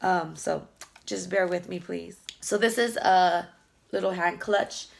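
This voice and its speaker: A woman beauty vlogger chatting casually through an unboxing, hoarse from a lingering cough, rambling fondly about each item. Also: lowish voice, slow. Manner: chatty, fond, rambling product talk with fillers. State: hoarse, losing her voice from a cough.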